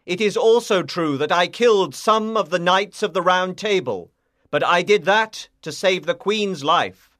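An actor playing a noble, loyal knight in a dramatised storybook, declaiming earnestly and defiantly. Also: male voice, sits high, a little slow, a bit bright.

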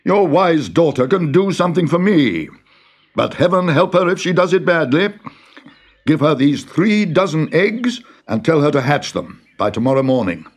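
Man doing a bossy storybook Tsar: high, loud, haughty. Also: big pitch swings, normal speed, slightly rough, heavily acted. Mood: threatening. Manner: haughty, commanding, raised voice. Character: vain, imperious male Tsar, a ruler. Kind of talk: storybook reading.

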